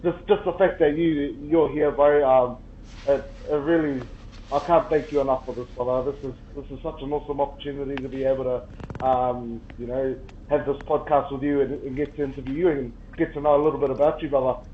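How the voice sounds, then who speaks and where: A man's voice: mid-range voice, steady tone, brisk. A man hosting a casual podcast, upbeat and friendly, calling his guest bro and brother. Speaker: podcast host. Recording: dull lo-fi sound with faint noise.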